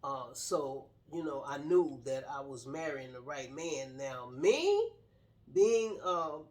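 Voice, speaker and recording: A woman's voice: a bass voice, very animated, slow pace, darkish tone. A middle-aged woman sharing her personal story casually in an earnest, straightforward way. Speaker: guest telling personal story, wife of the host.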